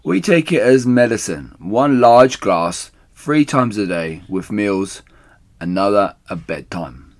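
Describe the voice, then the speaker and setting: A man plays a boisterous storybook badger, greedy and effusive. The words come out loud and emphatic, stretched slowly with swooping pitch. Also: lively intonation. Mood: pompous relish.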